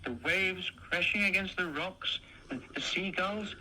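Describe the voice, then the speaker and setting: A man voicing an eager, excitable young male dog who stammers when excited, in a high chirpy voice, for a stop-motion cartoon. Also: unhurried, exaggerated voice, poor audio.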